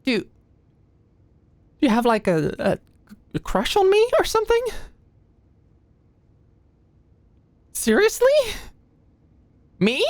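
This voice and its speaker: A woman playing an anxious, stressed young medical student in an ASMR audio roleplay, halting and stammering as she talks. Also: fairly high pitch, a little mellow, no echo, exaggerated voice. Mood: stunned, flustered.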